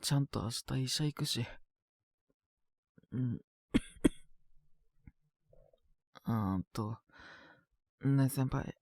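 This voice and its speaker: Man acting a coughing, drowsy-slow sick young guy. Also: mid-range voice, darkish tone, dry recording, studio quality. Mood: apologetic, reassuring. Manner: casual junior speech, mumbled reluctant replies, sighing. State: ill with a cold, frequent coughing fits. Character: sick young man, polite but grumbling junior to his senior. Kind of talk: Japanese boys' love situation voice drama, intimate one-sided dialogue.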